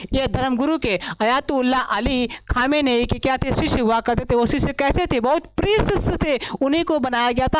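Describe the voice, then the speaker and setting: A male current affairs teacher giving an exam-prep lecture, hoarse from a long day of classes, who keeps asking himself questions and answering them. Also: very high-pitched, a little hiss or hum. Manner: asks himself questions and answers them, repeats key points.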